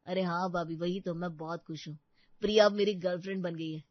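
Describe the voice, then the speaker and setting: A woman's voice: fairly low pitch, steady tone, fairly quick, no room sound, highs cut off, close to an everyday voice. Female narrator as young male student in love: earnest, boyish, eager, audio-story delivery. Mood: happy. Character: eager, lovestruck young college man.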